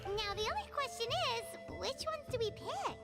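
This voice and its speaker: A woman's voice: very high voice, normal speed, full character voice. A woman voicing a small, childlike, eager companion in a video game, with music behind. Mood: eager, curious. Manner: eager, animated. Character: small chirpy high-pitched childlike companion, excitable helper. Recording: game audio under music.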